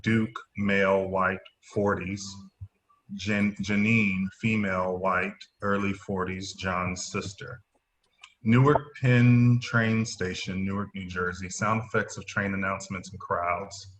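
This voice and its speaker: A man's voice: deep voice, steady tone, takes it slowly, a bit bright, poor audio. A man reading out the title, cast list and stage directions of an audio play as narrator. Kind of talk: scripted announcement of title and characters.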